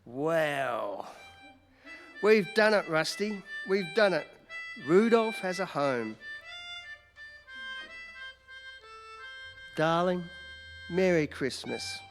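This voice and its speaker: Guy playing brash excitable newspaperman in a radio play, swingy delivery, big echoey hall. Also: fairly high pitch, takes it slowly, faint music behind, full character voice. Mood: excited, tender. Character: brash, excitable male New York newspaper reporter. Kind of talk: old-time radio play acting. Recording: echoey hall, long reverb.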